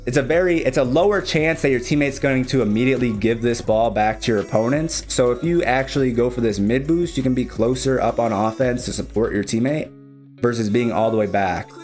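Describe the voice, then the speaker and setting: A man's voice: medium pitch, brisk, a little mellow, faint music behind. A young man with a Chicago accent, casually explaining game replays as a streamer and coach, speaking directly to the player. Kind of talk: live-streamed replay analysis commentary.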